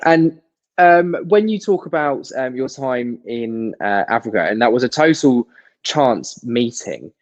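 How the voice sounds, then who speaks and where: A man's voice: medium pitch, expressive, measured pace, dull audio. A man interviewing a guest, asking long, rambling questions with many hesitations.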